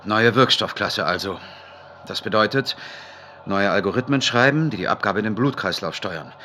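Audio drama researcher: low bright voice, measured and reflective, dictating notes over background noise. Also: male voice. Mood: focused, businesslike. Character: pensive male medical researcher dictating work notes.

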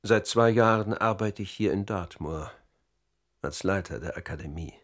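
Casting: psychologist in his fifties, lowish voice, formal, explanatory radio-drama testimony. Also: moderate pace, a bit bright. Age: 50s. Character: Dutch academy psychologist under interrogation, precise and defiant.

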